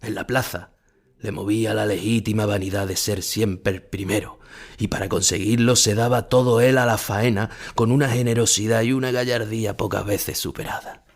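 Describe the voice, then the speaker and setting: Ageing retired matador, low-pitched, wistful and brooding, for an audio drama monologue. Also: male voice, steady tone, moderate pace. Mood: reflective. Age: older. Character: ageing melancholy retired Spanish bullfighter musing on his past.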